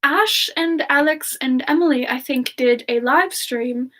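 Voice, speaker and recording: Woman, high voice, unhurried delivery, halting and giggly, for an in-character semi-ASMR livestream chat. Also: fairly bright tone. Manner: halting, musing aloud, giggly. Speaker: livestream host in character, answering viewer questions.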